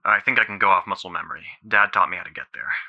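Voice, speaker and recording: A low male voice comes through dull, lo-fi audio. A man acts out a guilt-ridden, trapped soul in a horror game, confiding in halting, weary phrases. He sounds like a desperate older brother who swears as he talks. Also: bright, forceful tone, no room sound, heavily acted. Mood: emotional, struggling. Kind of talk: horror game phone-call voice acting.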